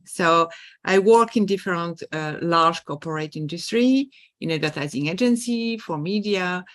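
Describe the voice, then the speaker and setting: A woman's voice: fairly low pitch, dry recording. A middle-aged woman from France with a French accent, speaking as a guest on a podcast about her work as a leadership coach, thoughtful and full of small restarts. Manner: thoughtful, with many fillers and restarts. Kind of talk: podcast interview.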